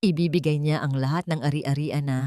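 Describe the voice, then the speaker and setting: A woman voicing a wronged elderly grandmother in an audio drama, stern yet merciful, speaking in a deliberate, commanding way. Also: low-pitched, steady tone, mellow, dry recording, clean studio sound, close to an everyday voice. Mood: stern, solemn.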